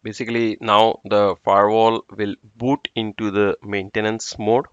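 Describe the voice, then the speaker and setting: Man, low voice, tech tutorial narration, step-by-step with fillers, dry dull recording. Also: flat delivery, measured pace, smooth, no echo. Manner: talks through steps as he works, with fillers. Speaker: network engineer instructor.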